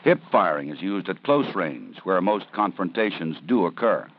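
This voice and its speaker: A man narrating a 1970s police training film in a measured, serious, instructive way. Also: low-pitched, expressive. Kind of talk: scripted documentary narration. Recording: dull 1970s film soundtrack with faint hiss.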